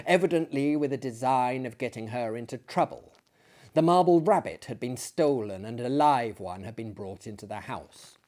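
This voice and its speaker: Male narrator, medium pitch, theatrical storytelling delivery with hushed phrase endings. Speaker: narrator and performer. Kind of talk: dramatic audiobook narration.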